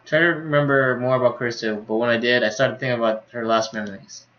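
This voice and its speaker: A man streaming a game, reading its story text aloud in a casual way. His medium-pitched voice comes through slightly dull, lo-fi audio. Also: steady tone, quick pace, a bit bright. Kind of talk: reading visual novel dialogue aloud, casual commentary.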